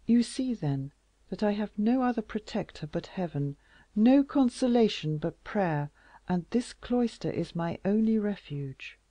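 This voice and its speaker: In a storybook reading, a meek young woman begs in a soft, raised, sometimes whispered voice, as the reader puts her on. The pace is slow and the sound dry and close. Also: mid-range voice, animated, no room sound, voice pushed up. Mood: sorrowful pleading. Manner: soft, pleading, at times whispered. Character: gentle young woman, tearful and humble. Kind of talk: audiobook character reading.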